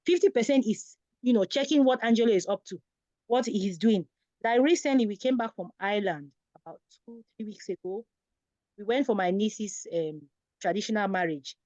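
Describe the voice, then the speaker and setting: A woman's voice: medium pitch, normal speed, dark tone, dry recording, dull audio. A woman, a guest parent on a talk show, telling personal stories in a conversational way.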